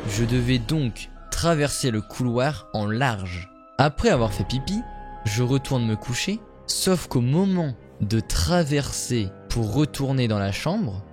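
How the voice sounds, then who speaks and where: A man narrating paranormal stories in a steady, measured storytelling voice. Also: medium pitch. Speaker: YouTuber.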